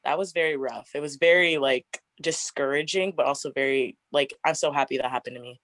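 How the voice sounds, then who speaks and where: A young woman in her twenties with a deep, raspy voice, chatting casually as an interview guest and laughing often. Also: brisk, fairly bright tone, no echo. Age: 20s. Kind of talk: casual get-to-know-me interview.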